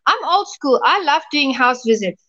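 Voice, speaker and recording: A woman's voice: sits high, sing-song, moderate pace, sharp tone, highs cut off. A woman from South Africa with a South African accent, a pastor telling stories in a casual interview. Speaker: pastor and podcast guest.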